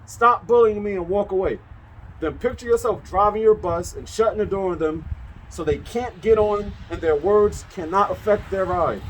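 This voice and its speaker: An upbeat, caring woman bus driver from a children's storybook, read by a man. The voice is high and emphatic, laying out advice instructively. There is faint noise on the recording. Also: moderate pace, lightly acted, rough recording. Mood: encouraging, firm.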